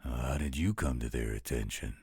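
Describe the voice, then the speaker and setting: A man playing a gruff, overprotective werewolf guardian in an audio roleplay, gravelly and husky, with a protective, fatherly manner. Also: rumbling low, moderate pace, darkish tone, no room sound, clean studio sound, exaggerated voice. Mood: worried, protective. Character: gruff, overprotective male werewolf guardian, tender toward his ward.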